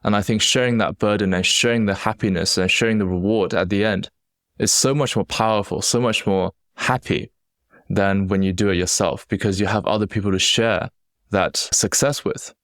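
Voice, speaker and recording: Deep-voiced young man with Chinese-British accent. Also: fairly even intonation, moderate pace, fairly bright tone, dry recording, studio quality. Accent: Chinese-accented English with British influence. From China. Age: young adult. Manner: reflective, earnest, with frequent restarts. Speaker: podcast host. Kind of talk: solo podcast storytelling.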